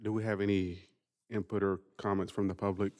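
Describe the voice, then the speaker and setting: A man chairing a school board meeting, running through the agenda with a formal, steady roll-call cadence. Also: fairly low pitch, fairly quick.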